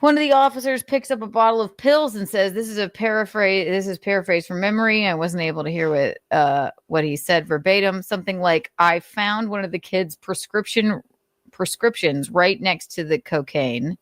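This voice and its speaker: A woman's voice: medium pitch, normal speed, no echo. A woman host reading notes aloud and adding blunt, sarcastic commentary, swearing freely. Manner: sarcastic, mocking.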